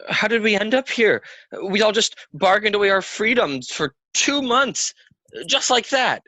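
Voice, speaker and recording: Male actor as defiant stage player, swooping pitch, tense bright voice, agitated, argumentative, audio play. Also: lively intonation, a little slow, no room sound, full character voice, poor audio. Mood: angry. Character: restless, defiant, hot-tempered young male theatre player. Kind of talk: theatrical audio play acting.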